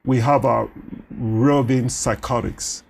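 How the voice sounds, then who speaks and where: A man with a Nigerian accent whose first language is Yoruba, talking as a doctor in a studio interview, animated and quick to laugh. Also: medium pitch, vocal fry. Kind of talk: conversational interview.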